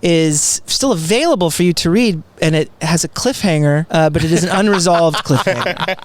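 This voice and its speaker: A man from Houston, Texas, being interviewed as a guest in casual chat, with a halting, tentative way of talking. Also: fairly high pitch. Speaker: podcast guest, comedy writer.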